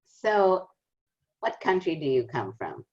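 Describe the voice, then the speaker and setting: A woman's voice: low-pitched, big pitch swings, slow pace, a little mellow, dull audio. A woman hosting a podcast interview, asking short, direct questions. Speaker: podcast host.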